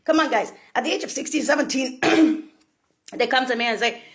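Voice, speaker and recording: A woman from Italy, rambling in a profane monologue, laughing and bursting into shouts. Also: high-pitched, brisk.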